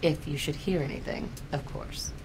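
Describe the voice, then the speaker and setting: An actress playing a confident, flirtatious journalist in a video game, with a coy, teasing manner. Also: female voice, moderate pace, natural voice. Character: flirtatious, probing female journalist, confident and playful. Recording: clear rumbling background noise.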